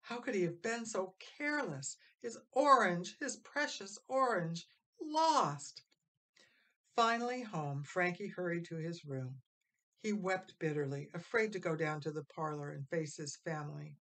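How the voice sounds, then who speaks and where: A woman reading a children's story aloud as expressive narration, dramatic, with drawn-out emphasis on key phrases. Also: lowish voice. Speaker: storybook reader.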